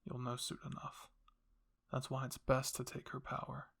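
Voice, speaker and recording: A man's voice: medium pitch, monotone, normal speed, gravelly, fairly bright tone, slightly rough. A man voicing a cold, scheming royal father who commands and explains without remorse in an anime fan fiction reading. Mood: persuasive.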